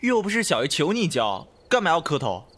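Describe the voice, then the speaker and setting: An actor playing a brash, impulsive but devoted young man in an expressive Chinese costume audio drama. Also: a little high, brisk. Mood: wary, cheeky. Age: young adult.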